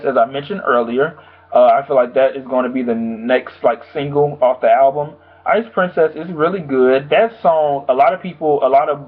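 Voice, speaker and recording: A young man, slightly hoarse from a sore throat, giving a rambling, casual album review full of fillers. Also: medium pitch. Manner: rambling, loose, full of "like" and "you know". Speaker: music reviewer. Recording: tinny phone-like sound.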